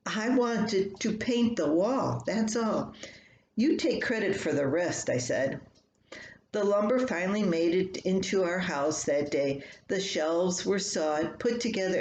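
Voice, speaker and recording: A woman's voice: medium pitch, darkish tone. An older woman reading her own personal, humorous column aloud in a folksy, conversational storytelling way. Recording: roomy home recording with faint noise.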